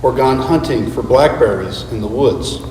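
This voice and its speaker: A man giving an earnest, deliberate dramatic reading of a script as a church readers theater performer. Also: mid-range voice, mellow. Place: large reverberant church space. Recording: echoey hall, distant mic, background noise.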